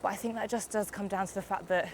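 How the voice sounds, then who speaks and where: A woman's voice: medium pitch, fairly even intonation, fast, slight background noise. A young woman with a congested summer cold, reviewing an e-bike in a chatty, upbeat way while riding outdoors. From United Kingdom. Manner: chatty, upbeat, explains as she rides. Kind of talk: casual video review while cycling. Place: outdoors on a bike on roads and hills.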